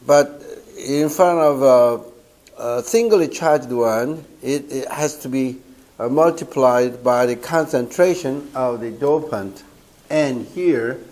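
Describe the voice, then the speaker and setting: An older man with a Korean accent lectures in a slow, halting way, pausing on fillers as he works through his points. His voice is hoarse from a cold. He is picked up by a camera across a lecture room. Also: mid-range voice, darkish tone. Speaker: professor. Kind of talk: university lecture. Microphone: far camera.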